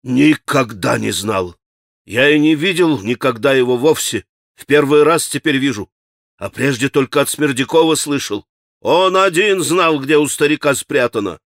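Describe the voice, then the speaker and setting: A man's voice: medium pitch, animated, normal speed, no room sound, clean studio sound. A man voicing a frantic, hot-tempered accused man who protests his innocence in an agitated, insistent, breathless way, as in a dramatic audiobook. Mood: frantic accusing. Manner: agitated, shouting, rambling, repeating himself. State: gasping for breath. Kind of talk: dramatic audiobook character acting.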